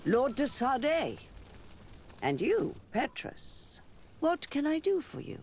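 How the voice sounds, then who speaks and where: A man playing a shrewd, sardonic political rival in a video game, speaking in a deliberate, knowing way. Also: very high voice, wildly swooping pitch, creaky, darkish tone, a little hiss or hum, full character voice. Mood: wary. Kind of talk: video game cutscene acting.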